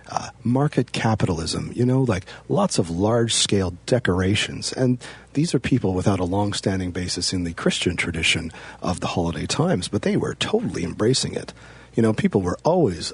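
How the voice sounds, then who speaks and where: A man in a deadpan comedy chat, playing a pompous professor who rambles with mock authority. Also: fairly low pitch, husky.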